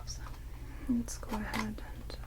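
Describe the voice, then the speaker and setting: Slow, whispering ancient vampire played by a woman. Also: a little high, completely flat delivery. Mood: matter-of-fact, caring. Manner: hushed, rambling, halting, drawn-out words. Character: ancient vampire, quirky, blunt yet caring, bandaging wounds. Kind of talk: ASMR roleplay, whispered and soft-spoken. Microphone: close binaural microphones. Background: heavy rain.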